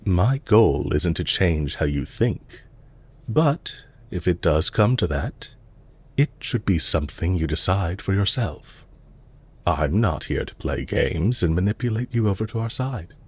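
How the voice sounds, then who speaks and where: Guy doing a suave villain boss for audio rp, slow deep voice, soft n polite, bit teasing. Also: expressive, breathy edge, full character voice. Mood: composed, courteous. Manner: polite, measured, softly teasing. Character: suave, courteous male villain leader, calm and calculating. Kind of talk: intimate audio roleplay acting, addressed to the listener.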